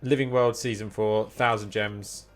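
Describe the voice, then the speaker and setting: A man's voice: fairly low pitch, steady tone, measured pace. A man live-streaming a game, commenting casually as he plays, thinking aloud, asking questions and reading menu items out. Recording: music playing behind. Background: guitar music.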